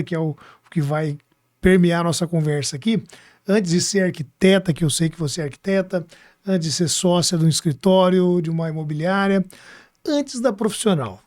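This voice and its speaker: A man's voice: high-pitched, a little mellow, no room sound, studio quality. A man from hosting a podcast, chatting casually, rephrasing his questions and often seeking agreement. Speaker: podcast host. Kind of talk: casual conversational interviewing.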